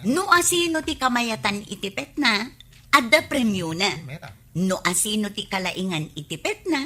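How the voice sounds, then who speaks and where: Lively, husky Ilocano village woman. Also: mid-range voice, moderate pace. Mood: chatty, enthusiastic. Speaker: actress in a radio comedy drama. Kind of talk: Ilocano comedy radio drama acting.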